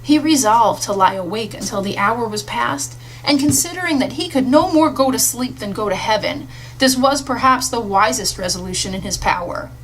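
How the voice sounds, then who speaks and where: Female storyteller, higher register, expressive scripted audiobook read, faint background noise. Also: normal speed, rough recording. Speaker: audiobook reader. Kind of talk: expressive scripted literary narration. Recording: audible hum and faint noise.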